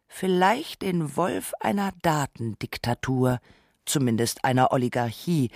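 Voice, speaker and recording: A second narrator reading a scripted radio essay in a deliberate, reflective way. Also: medium pitch, no room sound, clean studio sound.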